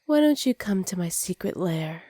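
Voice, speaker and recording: A woman performs a possessive, smug supervillainess in an ASMR roleplay. Her voice is soft, dark and relaxed, recorded very dry and close. She teases and commands in an unhurried, confident way. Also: fairly low pitch, dry recording. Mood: amused, smug. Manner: smug, teasing, commanding, often dropping to soft whispers. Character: dominant female supervillain with superpowers, teasing and possessive.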